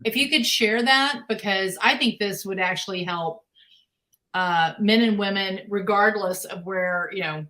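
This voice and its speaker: A woman hosting a conversation, with a bright, forceful tone at a middle pitch. She frames her question earnestly and winds through it at length before inviting the guest to answer. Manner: earnest, rambling question setup. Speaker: host. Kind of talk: interview questioning.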